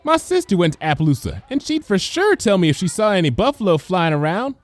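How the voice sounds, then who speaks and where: A curious little filly performed by a man in a storybook reading, pitched high and very animated. The character is literal-minded and childlike. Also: a little fast, no echo. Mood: doubtful curiosity.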